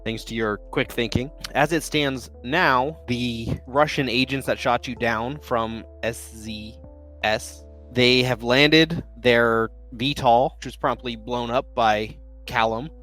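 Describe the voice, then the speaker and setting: A man's voice: mid-range voice, steady tone, takes it slowly, a bit bright, faint music behind. Man running a tabletop game. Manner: methodical, laid-back recapping. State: recovering from illness, deeper voice than usual. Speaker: game master. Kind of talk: casual tabletop game narration.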